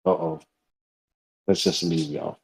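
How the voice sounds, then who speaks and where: A man with a deep, low voice co-hosts a casual support group conversation. He speaks in an affirming, easygoing way, often addressing the group directly. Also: fairly even intonation, slow pace, creaky, slightly rough. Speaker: co-host.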